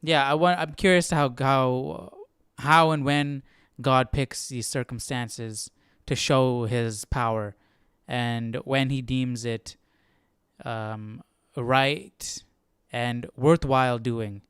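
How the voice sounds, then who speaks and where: Clear-voiced man musing casually, dry sound. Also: medium pitch, fairly even intonation, fairly bright tone, no echo. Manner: halting, informal, searching for words. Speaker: Bible study livestream host. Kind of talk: casual thinking-aloud commentary.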